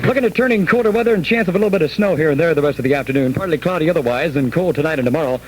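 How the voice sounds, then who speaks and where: A man's voice: a little high, rapid-fire, low-quality recording. An American man hosting a 1970s Top 40 radio show with DJ patter, on an old AM radio recording. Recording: 1970s AM radio aircheck, music underneath. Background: loud music.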